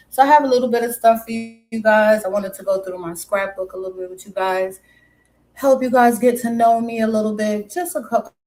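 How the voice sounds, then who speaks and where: A woman's voice: medium pitch, darkish tone. Chatty woman with a mixed New York accent. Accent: mixed New York and West Indian. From Brooklyn, New York. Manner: chatty, greets viewers by pet names. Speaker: live stream host. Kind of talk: casual live stream chat.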